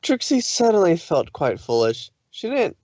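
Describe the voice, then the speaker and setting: A man narrating a scripted story, somewhat haltingly, with occasional stumbles over the words. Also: sits high, big pitch swings, warm tone, no echo, muffled recording.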